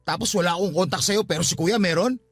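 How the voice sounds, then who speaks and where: A man's voice: sits high, fast, breathy edge, dry recording. A man with a Filipino accent playing a jealous, hot-headed ex-boyfriend in a radio drama, agitated and confrontational. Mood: jealous, angry. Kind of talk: Tagalog radio drama acting.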